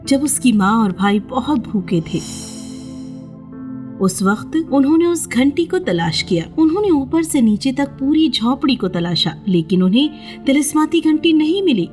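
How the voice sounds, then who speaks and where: Animated woman storyteller, fairly high voice. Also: soft background music. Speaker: storyteller, narrator. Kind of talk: scripted children's fairy-tale narration.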